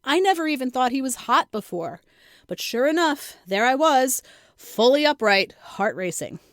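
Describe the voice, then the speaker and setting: A woman reading her own first-person comic story in a conversational, wry, expressive and self-mocking way. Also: sits high, normal speed, no room sound, clean studio sound. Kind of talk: scripted first-person comedic narration.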